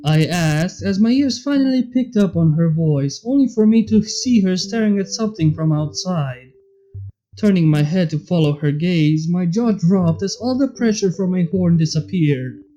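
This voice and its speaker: A man's voice: sits high, lively intonation, normal speed, mellow, muffled recording. A man reading fan fiction aloud in a scripted narrating style. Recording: music under the voice. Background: background music.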